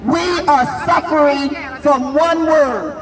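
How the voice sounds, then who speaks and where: A woman in her sixties giving an impassioned rally speech outdoors, declaiming in drawn-out phrases and shouting key words for emphasis. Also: sits high. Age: 60s. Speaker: rally speaker. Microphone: unamplified, distant camera. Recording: distant, echoey outdoor recording with crowd. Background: crowd noise.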